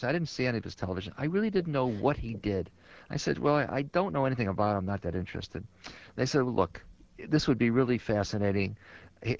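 A man's voice: mid-range voice, no room sound. An older man from Chicago, a guest sports writer, telling stories in conversation with many fillers and restarts. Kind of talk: conversational storytelling. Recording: dull lo-fi radio sound.

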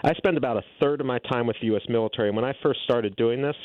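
A man's voice: mid-range voice, very fast, dry recording. A middle-aged man being interviewed as an author, arguing his points fluently and assertively. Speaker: guest author and policy analyst. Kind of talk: interview answers, policy argument. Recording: tinny phone-like line.

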